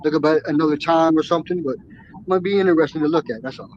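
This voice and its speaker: A man with a high voice rambles through his points and restarts his sentences often. His audio comes through dull and lo-fi. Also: fast, a little mellow, a little hiss or hum. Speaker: guest. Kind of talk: casual live show chat.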